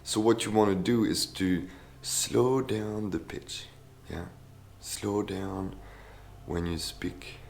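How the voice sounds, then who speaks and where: A man teaching as a voice coach in a casual video tutorial, enthusiastic and often checking in with "yeah?". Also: low-pitched. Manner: enthusiastic, tags sentences with "yeah?".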